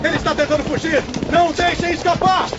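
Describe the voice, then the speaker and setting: An actor playing a frantic guard who urgently calls out orders in a radio drama. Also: male voice, flat delivery, fast talker, highs cut off. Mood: alarmed. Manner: shrill, urgent calling. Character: frantic male spirit guard barking alarm orders.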